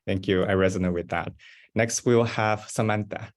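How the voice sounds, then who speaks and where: A young man hosting an online panel discussion speaks in a fairly low voice. He is polite and eager, and he fills his pauses with "um.". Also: fairly even intonation. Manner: polite, eager, many fillers. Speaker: panel moderator.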